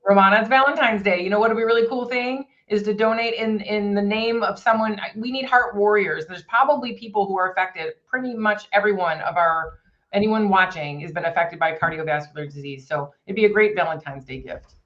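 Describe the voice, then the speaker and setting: A woman's voice: mid-range voice, a little fast, a bit bright, muffled recording. A woman chatting earnestly about a fundraiser she leads, often restarting her sentences. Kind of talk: casual chat, promoting a fundraiser.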